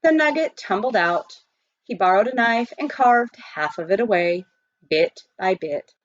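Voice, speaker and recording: Female storybook narrator, lively and expressive scripted reading, close dry sound, a little muffled. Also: mid-range voice, measured pace, no echo. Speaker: audiobook reader.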